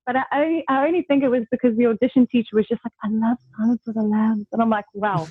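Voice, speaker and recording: A young South African woman chats as a guest over a video call, laughing often and filling pauses with "um." Her voice sits on the higher side. The sound is dry and thin, like a phone line. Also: steady tone, husky, no room sound. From South Africa, Durban. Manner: chatty, laughs often, many fillers. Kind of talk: casual video-call chat. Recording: dry tinny call audio.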